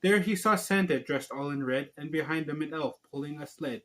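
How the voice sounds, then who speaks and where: A man's voice: a little high, a little fast, fairly bright tone. A man hosting a homemade video, chatting casually and reading a storybook aloud in an informal, slightly stumbling way. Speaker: online video host and storybook reader. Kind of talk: casual vlog talk and amateur read-aloud.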